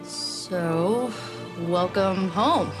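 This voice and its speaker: A young witch with a fairly low voice. She is casual and wry, a little awkward and hesitant, like a friendly guide in a game. Loud music plays behind her. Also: very slow. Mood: wry, chatty. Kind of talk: video game cutscene acting.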